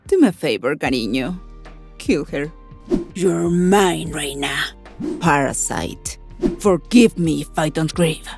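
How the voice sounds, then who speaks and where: A woman's voice: low voice, expressive, slow, vocal fry, over music, exaggerated voice. A woman acting a cold, seductive assassin from a shooter game, cool and contemptuous. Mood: cold menace.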